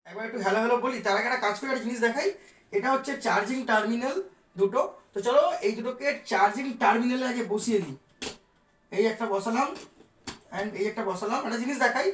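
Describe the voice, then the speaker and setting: A woman giving a casual, chatty unboxing commentary, speaking straight to viewers with English words mixed in. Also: fairly high pitch. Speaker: vlogger presenting a product. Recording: echoey room sound.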